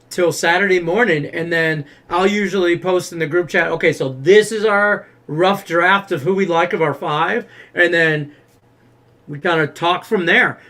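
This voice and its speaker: A man's voice: high voice, pushed and bright. A man co-hosting a casual sports betting talk show, joking and rambling. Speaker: co-host.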